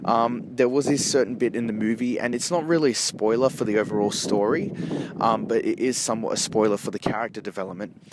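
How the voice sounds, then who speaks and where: A man giving a casual, rambling amateur movie review in a halting way, often rephrasing himself, recorded outdoors while walking along a street. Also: lowish voice. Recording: noisy outdoor street with traffic. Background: street and vehicle noise.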